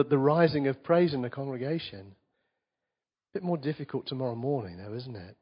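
A man preaching a sermon to a congregation, his voice a little croaky from a cold, chatty and asking the people questions as he reads scripture. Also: a little high. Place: church service before a congregation. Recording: dull lo-fi recording.

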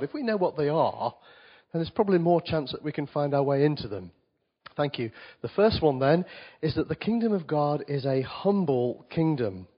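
A man preaching a sermon to a congregation, his voice a little croaky from a cold, chatty and asking the people questions as he reads scripture. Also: a little high. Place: church service before a congregation. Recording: dull lo-fi recording.

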